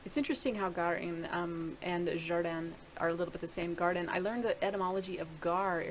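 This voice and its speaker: A woman's voice: on the low side, steady tone, a little fast, a little hiss or hum, low-quality recording. A middle-aged woman casually talking through a screen-share video blog, rambling and thinking aloud with many restarts. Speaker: video blogger.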